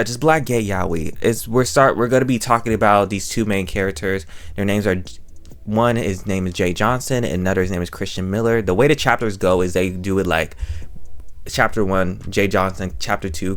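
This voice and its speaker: Male YouTube reviewer, low register, chatty and self-aware, loops back over phrases playfully, close-miked. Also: a bit bright. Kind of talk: casual chatty review to camera. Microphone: microphone held very close to the mouth.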